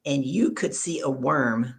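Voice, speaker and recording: A woman's voice: very deep voice, unhurried, breathy edge. A woman hosting an online show, chatty and upbeat while telling stories. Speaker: host. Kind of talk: casual chat and storytelling.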